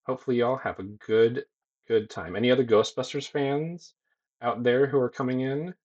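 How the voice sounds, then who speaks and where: A man's voice: medium pitch, moderate pace, a little mellow, muffled recording. A young man with a soothing voice, casually chatting with viewers during a livestream and reading chat aloud with frequent ums. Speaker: streamer and digital sculptor. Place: home streaming room with green lighting.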